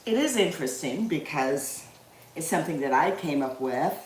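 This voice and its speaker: A woman's voice: deep voice, lively intonation, normal speed, reverberant, a little hiss or hum, rough recording. A woman, chatting casually with viewers as she cooks on a livestream, laughing easily. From Guyana. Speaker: livestream cooking host. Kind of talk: casual livestream cooking chat.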